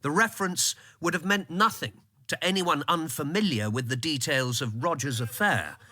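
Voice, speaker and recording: An actor playing an observant, sober political insider, narrating a radio drama in a measured, literary manner. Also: male voice, a little high, bright, forceful tone, dry recording, studio quality. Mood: grave.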